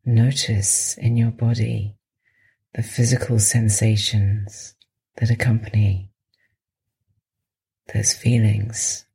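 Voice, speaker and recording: Female meditation guide needed, soft and very breathy, almost whispering at times, unhurried and soothing delivery. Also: a bass voice, flat delivery, rough recording. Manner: slow, soothing, with long pauses. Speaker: meditation podcast host and guide.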